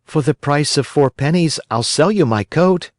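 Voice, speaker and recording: A man's voice: fairly high pitch, expressive, normal speed, a little mellow, no echo, studio quality, voice pushed up, natural voice. A man voicing a young wooden puppet boy in a pleading, eager manner for a storybook reading.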